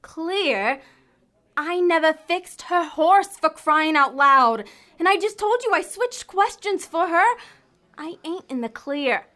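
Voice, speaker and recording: A woman's voice: very high voice, animated, slow pace, sharp tone, exaggerated voice. A woman playing a bossy, blunt damned soul in an audio drama, slangy and exasperated. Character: brash, griping female damned soul, bossy schemer.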